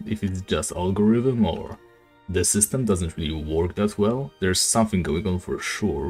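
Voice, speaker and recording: Male streamer, non-native English speaker, very low raspy voice, easygoing rambling talk, faint music underneath. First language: not English. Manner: relaxed, rambling, many fillers. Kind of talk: casual live-stream chat while gaming.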